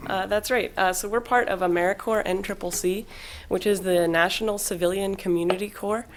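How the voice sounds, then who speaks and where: A woman's voice: mid-range voice, steady tone, a little fast, slight background noise. A young woman who leads a volunteer team, giving an informal presentation at a council meeting. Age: young adult. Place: public council meeting room.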